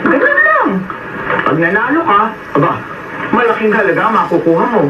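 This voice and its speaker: A woman's voice: mid-range voice, huge pitch swings, fairly quick, heavy background noise. A woman acting a kindly, motherly landlady in a radio drama, coaxing and encouraging, with a Filipino accent. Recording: roomy, distant, dull radio recording with music.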